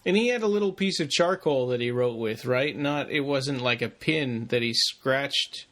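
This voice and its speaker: A man's voice: medium pitch, normal speed, fairly bright tone. A man co-hosting a podcast, thinking aloud in a tentative, casual way. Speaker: podcast co-host. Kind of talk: casual discussion.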